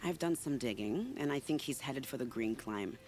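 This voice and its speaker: A woman playing a sly, secretive, charming spy in a video game, teasing in a silky, unhurried way. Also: rumbling low, expressive, a little mellow. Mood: sly, confiding. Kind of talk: video game cutscene acting.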